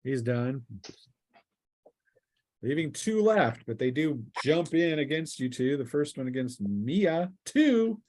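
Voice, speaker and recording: A man's voice: a little high, very animated, takes it slowly, a little mellow. A man speaking casually as game master, narrating a tabletop role-playing game with vivid sound-effect words.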